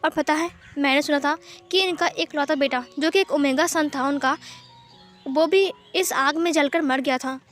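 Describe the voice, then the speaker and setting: A woman's voice: high voice, fairly even intonation, normal speed, a bit bright, soft background music. A woman voicing an earnest young man in a ghost story, insistently repeating his claims. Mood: eerie, confiding.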